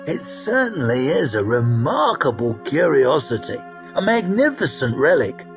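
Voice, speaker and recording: A man voicing Koska, a scheming, grandiose male adventurer who looks down on ordinary people, in a haughty, drawn-out way. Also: fairly high pitch, very animated, dull audio, faint music behind, pitched above the performer's own voice. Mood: scornful. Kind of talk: audiobook character reading.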